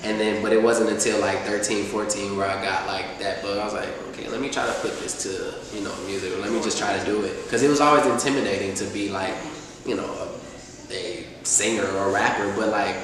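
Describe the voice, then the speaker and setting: Laid-back young rapper, low voice. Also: male voice, fairly even intonation, a little fast, a crowd in the background. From Atlanta, US. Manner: laid-back, rambling with many fillers. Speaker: rapper guest. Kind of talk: casual interview chat. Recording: echoey room, far from mic, faint noise.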